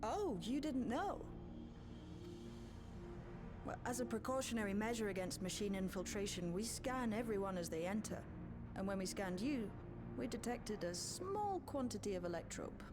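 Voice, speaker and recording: An actress voices a sharp female intelligence specialist for a game. Her mid-range voice is conversational, casually confident and matter-of-fact, set over clear background music. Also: medium pitch, lively intonation, moderate pace, close to an everyday voice. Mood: curious, casual. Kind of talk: video game voice acting.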